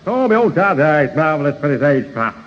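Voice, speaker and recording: A man's voice: on the high side, big pitch swings, a little fast. A man playing a jovial, daft neighbour who muddles his long words, in a radio sitcom. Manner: chuckling, hesitant, malapropism-prone. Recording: old radio recording, muffled, echoey, hissy.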